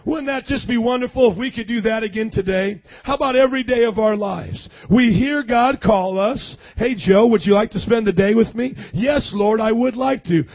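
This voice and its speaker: A hoarse young man preaching a sermon, energetically calling out to the congregation for responses. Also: very high-pitched, moderate pace, few pauses. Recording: dull lo-fi sound.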